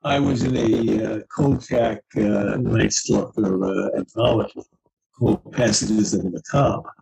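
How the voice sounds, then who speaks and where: Very gravelly, raspy man, halting delivery. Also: on the low side, fairly even intonation, a little mellow, poor audio. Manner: halting, explanatory. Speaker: guest author. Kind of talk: casual explanation of own story.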